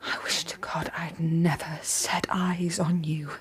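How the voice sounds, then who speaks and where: An actress in a British radio drama playing a wronged young gentlewoman, hurt, proud and indignant, with a breathy, half-whispered British voice. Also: low voice, flat delivery, slow, slight background noise. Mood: heartbroken, bitter.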